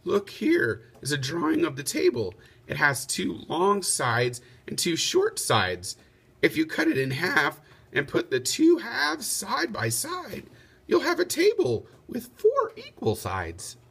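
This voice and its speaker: Man putting on a high falsetto medieval lady. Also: wildly swooping pitch, slow pace, vocal fry, a bit bright, slightly rough, full character voice. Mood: helpful. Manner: falsetto, helpful and suggesting. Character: Lady Di, a clever, practical woman of a medieval court. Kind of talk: children's storybook read-aloud.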